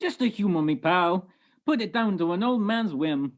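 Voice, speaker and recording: A woman's voice: fairly low pitch, lively intonation, moderate pace, lightly acted. A woman playing a suspicious old male police sergeant who talks in needling tough-guy slang in a radio detective play. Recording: muffled video-call recording.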